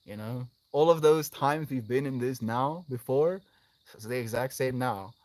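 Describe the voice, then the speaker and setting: A man's voice: medium pitch, measured pace, darkish tone, dry recording. Male livestream host, effusive and affectionate, casual delivery, close dry sound. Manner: effusive, affectionate, calling listeners "friend". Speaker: live streamer, host. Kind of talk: casual livestream chat, responding to viewer comments.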